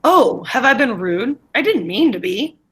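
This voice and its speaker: Woman reading as a brusque, husky gentleman professor. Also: a little high, very animated, unhurried, full character voice. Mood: offhand, distracted. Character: brusque, impatient gentleman professor, blunt and careless of manners.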